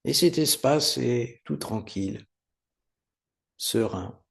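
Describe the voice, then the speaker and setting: A man teaching guided meditation, giving unhurried, soothing step-by-step instructions with pauses. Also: medium pitch, vocal fry, fairly bright tone. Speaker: yoga nidra meditation teacher.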